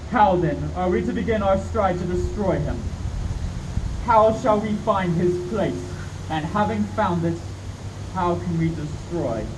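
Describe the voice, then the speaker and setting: A man playing a grave elderly professor who leads vampire hunters, speaking in deliberate speeches in radio drama style. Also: high-pitched. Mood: solemn warning. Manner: declamatory, deliberate speech-making. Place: community theatre live performance. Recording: noisy, distant stage mic.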